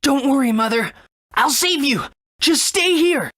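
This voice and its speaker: A woman's voice: sits high, animated, measured pace, a bit bright. An actress voicing an earnest young boy hero in a video game, wide-eyed and eager in manner. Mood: plucky, eager.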